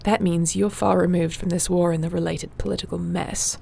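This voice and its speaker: A woman playing a gentle, wry warrior shifter with healing magic in an intimate fantasy audio roleplay, speaking softly and soothingly without hurry. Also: on the low side, flat delivery, a little fast, gravelly, slight background noise. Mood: curious, musing.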